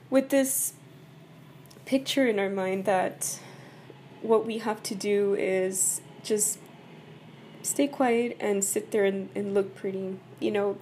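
A woman from El Paso, Texas, hoarse from a cold, talking casually and personally to her viewers, leaning on "you know" and "right?". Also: medium pitch, dark tone, slight background noise. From El Paso, Texas, USA. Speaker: vlogger. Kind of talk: casual personal talk to camera.

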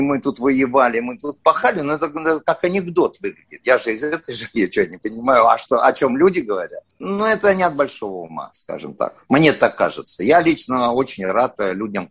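A man's voice: mid-range voice, husky. A man, an actor, talking casually as an interview guest and acting out the voices of people he quotes. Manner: lively, mimics other people's voices as he quotes them.

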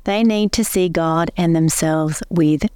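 A woman co-hosting a faith podcast, explaining points at length in a steady way. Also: deep voice, measured pace, smooth, no room sound, studio quality. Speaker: podcast co-host, pastor. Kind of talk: casual faith podcast chat.

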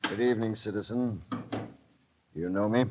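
An actor playing a hard, sardonic French revolutionary in the style of an old radio drama. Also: male voice, lowish voice, normal speed, very noisy, close to an everyday voice. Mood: guarded. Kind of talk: 1940s radio drama acting. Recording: tinny old radio recording.

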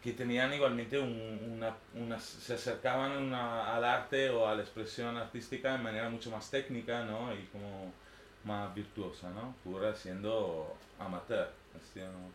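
A man's voice: fairly low pitch, fairly even intonation, normal speed, fairly bright tone. A man in his thirties from northern Italy, a native Italian speaker with an Italian accent, talking informally and unscripted in a hesitant, rambling way, often tagging phrases for agreement. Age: 30s. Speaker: artist giving a talk. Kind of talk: informal unscripted personal talk. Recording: echoey room with faint background noise.

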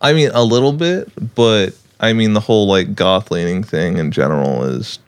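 A man in his late twenties, a podcast guest, answering in a slow, hesitant and thoughtful way. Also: on the low side, clean tone. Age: late 20s. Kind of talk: casual interview.

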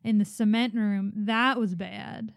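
A female podcast co-host in casual chat with a calm, dry, teasing manner and audible breathing. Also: medium pitch, measured pace, creaky, dry recording. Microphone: studio podcast mic.

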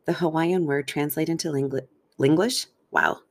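A woman's voice: low-pitched, moderate pace. A woman hosting her own wellness podcast as a therapist and coach, talking alone in an affirming way with playful asides, seated in a comfy chair indoors. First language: English. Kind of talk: solo podcast monologue.

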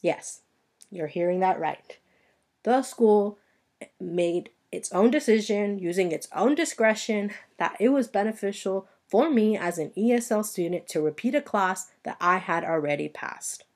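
A woman's voice: mid-range voice, lively intonation, measured pace, a little mellow. A woman in her twenties, a native Spanish speaker, hosting a solo podcast and telling personal stories earnestly while speaking straight to her listeners. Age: 20s. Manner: earnest personal storytelling, addresses listeners directly. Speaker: podcast host.

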